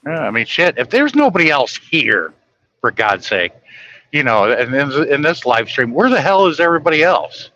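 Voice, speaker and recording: A man's voice: fairly high pitch, big pitch swings, moderate pace, bright, forceful tone, lo-fi sound. A man chatting casually late at night on a live stream, blunt and griping, swearing freely.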